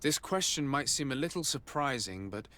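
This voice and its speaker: An actor playing a composed, courteous but pressing investigator in a video game. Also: male voice, mid-range voice, animated, moderate pace, sharp tone. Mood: polite, probing.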